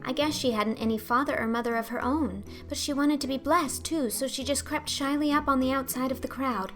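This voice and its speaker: Lady doing a chatty dreamy orphan girl for an audiobook, high voice, super earnest, music behind. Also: steady tone, brisk, a bit bright. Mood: wistful, imaginative. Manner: earnest, effusive, rambling. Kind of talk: audiobook character acting.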